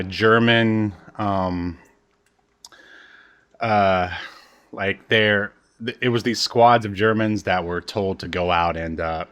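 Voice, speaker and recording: A man's voice: low voice, a bit bright. Wry, rambling man in casual chat. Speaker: podcast host.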